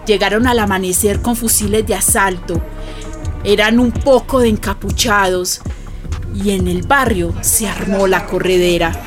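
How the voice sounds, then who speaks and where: An actress playing a plainspoken Colombian neighbour who recalls events in vivid, colloquial testimony for a radio drama. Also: female voice, mid-range voice, steady tone, moderate pace, close to an everyday voice. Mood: vivid recollection. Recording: music behind the voice.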